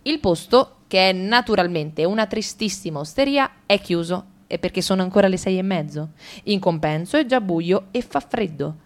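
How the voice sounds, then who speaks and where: Woman reading comic radio theatre, brisk and ironic, pitch sits right in the middle. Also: expressive. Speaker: radio drama reader.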